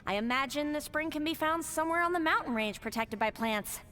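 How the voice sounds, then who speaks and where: A woman voicing a gentle, polite magical flower spirit in a video game. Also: high voice, expressive, fairly quick. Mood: gentle, helpful. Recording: long reverb, faint noise.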